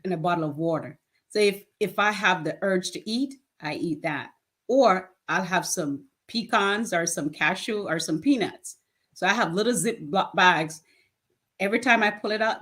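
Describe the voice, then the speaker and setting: A woman's voice: fairly low pitch, fairly bright tone, husky. A woman in her fifties, a charity founder, talking in a plain-spoken, earnest way in a casual interview and laughing often. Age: 50s. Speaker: guest, nonprofit founder.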